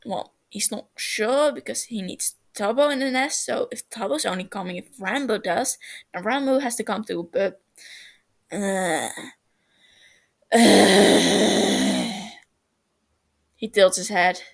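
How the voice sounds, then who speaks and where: A woman narrating a story as an amateur reader, halting a little, with small stumbles and self-corrections. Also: mid-range voice, very animated, vocal fry. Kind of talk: storybook-like narration of fan fiction.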